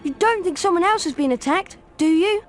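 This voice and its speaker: A woman's voice: extremely high pitch, animated, brisk, soft background music. A woman voicing an earnest, inquisitive young schoolboy wizard with a British accent in a video game. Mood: curious.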